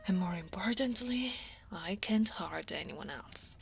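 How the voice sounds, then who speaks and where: A woman with an Italian accent playing a guarded, workaholic dragon woman who is a senior coworker, in a soft, often whispered ASMR roleplay, wry and self-deprecating with sighs and soft laughs. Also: medium pitch, lively intonation, unhurried, creaky, soft background music. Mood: wistful. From Italy.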